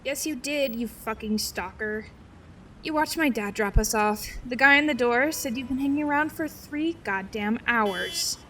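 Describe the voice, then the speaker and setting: Hostile schoolgirl, teens, played by a woman for a comic dub; biting and scornful, highish pitch, recorded from a distance. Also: measured pace, a bit bright. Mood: angry disgust. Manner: cutting, scornful. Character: hostile, foul-mouthed teenage schoolgirl. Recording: distant mic, faint background noise.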